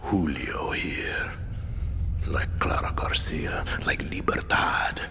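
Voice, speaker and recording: An actor playing a cold, controlling middle-aged dictator and father in a video game, with a Latin American Spanish accent and measured, deliberate pauses. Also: in a whisper, natural voice. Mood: menacing lecture. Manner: measured, deliberate, pausing mid-sentence. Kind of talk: cinematic video game acting.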